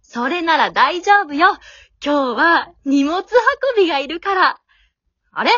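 Woman doing a bossy vain young girl, really high bright voice, swoops around, coy and haughty, radio drama. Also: lively intonation, measured pace, no room sound, highs cut off. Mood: sweet, pushy. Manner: haughty, coy, pushy ladylike speech. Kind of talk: amateur radio drama acting.

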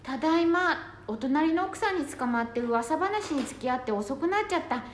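A woman's voice: high voice, expressive, moderate pace. A woman playing a polite, anxious daughter-in-law in an amateur radio drama. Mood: cheerful. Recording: echoey room, faint noise.

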